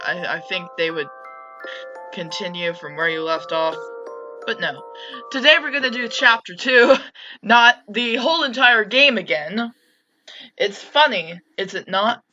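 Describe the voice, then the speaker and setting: A woman giving casual, excitable commentary as host of a gaming let's play. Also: mid-range voice, low-quality recording.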